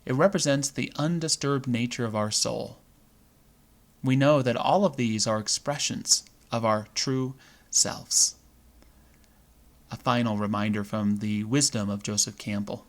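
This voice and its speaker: A man with a mid-pitched voice leads a guided visualization meditation. He delivers it in measured, deliberate phrases, leaving space between them as a meditation guide does. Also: fairly even intonation.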